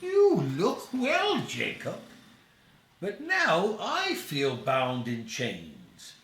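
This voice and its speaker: A man voicing a cantankerous, remorseful old miser in a storybook reading, with drawn-out theatrical phrasing. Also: sits high, a bit bright, echoey room, slight background noise, pitched above the performer's own voice, full character voice. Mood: despairing, pleading.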